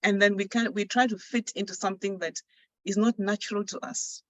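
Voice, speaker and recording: A woman's voice: mid-range voice, fairly even intonation, brisk, dry recording. A woman with a Ghanaian English accent, speaking earnestly and persuasively as a coach moderating an online panel. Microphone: video call.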